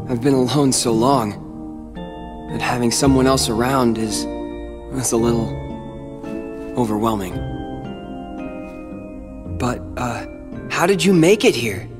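An actor playing an earnest, brooding teenage boy hero in a video game cutscene, speaking haltingly. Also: medium pitch, natural voice. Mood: relieved, tender.

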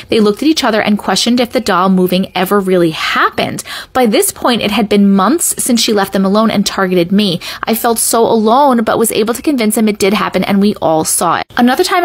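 A middle-aged woman hosting a creepy story video, reading aloud expressively and dramatically with casual asides. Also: on the high side. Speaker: host reading viewer-submitted scary stories.

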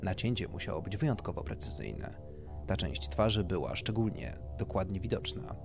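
A man's voice: low-pitched, lively intonation, moderate pace, gravelly, over music. A man narrating a scripted horror story as an audiobook reader.

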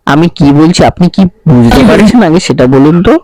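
Guy doing a prank call, playful mock official tone, bouncy pitch. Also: very animated, a little fast, darkish tone, dry recording, rough recording. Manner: teasing, flirty, mock-official. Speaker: prank caller.

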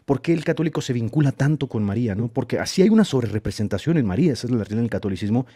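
Fast-talking man hosting a call. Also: medium pitch, lively intonation, dark tone, slightly rough. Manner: quick, asks guests follow-up questions. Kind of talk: casual panel chat. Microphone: webcam video call.

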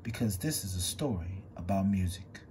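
A man reading a picture book aloud with expressive, swinging delivery. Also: moderate pace, a little hiss or hum. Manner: expressive storytelling with big swings in pitch and loudness.

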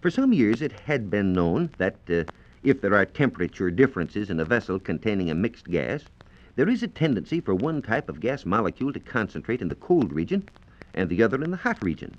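An American man giving formal, measured scientific explanations as a reporter on a 1940s radio program. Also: on the low side, sing-song. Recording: dull, band-limited 1940s radio transcription disc.

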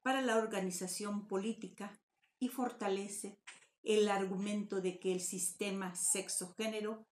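An older woman with a Mexican Spanish accent, reading a prepared conference paper in a measured, formal way over a video call from her home study. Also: mid-range voice. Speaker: academic conference speaker. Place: home study with bookshelves, indoors. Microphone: webcam on video call. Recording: roomy echo.

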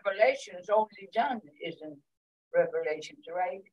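A man's voice: high-pitched, expressive, normal speed, lo-fi sound, a crowd in the background. A man making casual remarks as part of a group discussion. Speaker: study group participant. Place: meeting room with conference table. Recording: distant from the microphone.

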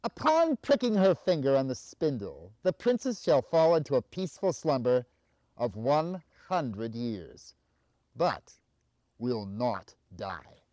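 A man's voice: medium pitch, big pitch swings, slow, darkish tone, no room sound. A man voicing a solemn wise woman from a fairy tale, pronouncing a fateful spell in a portentous, incantatory manner. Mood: gentle, grave.

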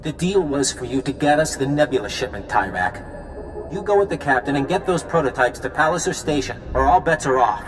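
An actor playing an earnest, practical resistance leader in a video game, firm and persuasive. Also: male voice, medium pitch, lively intonation, brisk, music in the background, lightly acted, low-quality recording.

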